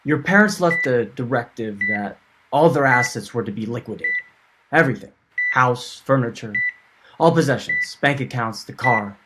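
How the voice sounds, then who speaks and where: A man's voice: medium pitch, animated, normal speed, lightly acted. Man playing a businesslike lawyer, very noisy recording. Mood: matter-of-fact. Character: matter-of-fact male lawyer delivering estate news.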